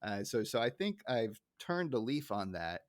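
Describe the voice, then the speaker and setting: A man's voice: on the low side, very animated, normal speed, no echo, studio quality. A man hosting a podcast in a casual, conversational style. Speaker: podcast host.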